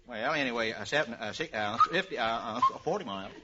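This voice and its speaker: A man's voice: mid-range voice, close to an everyday voice. A man with an American accent playing a bumbling, stammering, cheerful country egg peddler in radio comedy. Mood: proud, muddled. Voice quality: stammering, halting delivery. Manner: folksy, tongue-tangled, restarting words. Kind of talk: 1940s radio comedy acting. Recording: muffled, noisy old radio recording.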